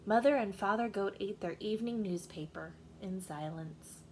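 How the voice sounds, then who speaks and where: A woman reading a children's story aloud in an animated storytelling style. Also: medium pitch, normal speed, noisy background.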